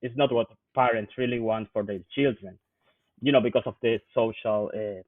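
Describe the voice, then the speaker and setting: A man from Cuba with a Cuban Spanish accent, a non-native English speaker, explaining his background in a measured way as an interview guest. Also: fairly low pitch, steady tone, no echo. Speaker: guest, IT professional. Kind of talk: interview answers. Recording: dry, tinny phone-like line.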